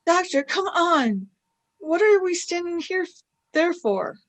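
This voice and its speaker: A woman's voice: very high-pitched, sing-song, a little slow, full character voice. A woman voicing an impatient, curious young female companion in a fan radio play, urging and asking questions.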